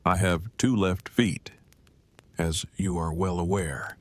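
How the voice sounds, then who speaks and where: A man's voice: low voice, slow pace, no room sound. A man playing an earnest, bookish husband in an audio drama, speaking in formal, precise, wordy phrases. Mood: wry, self-deprecating. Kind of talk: fan audio drama acting.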